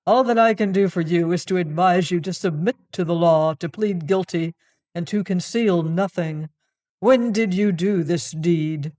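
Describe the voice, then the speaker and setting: A reader voicing a stern older male board chairman who speaks in a formal, measured way. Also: mid-range voice, a little mellow, lightly acted. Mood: grave.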